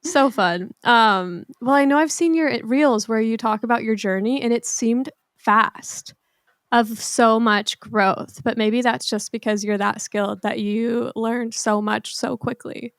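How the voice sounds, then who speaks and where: A woman's voice: on the high side, a little slow, smooth, no echo, studio quality. A woman in her twenties hosting a podcast, chatting casually and rambling enthusiastically about her guest. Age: 20s. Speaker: podcast host, wedding photographer. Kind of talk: casual interview chat.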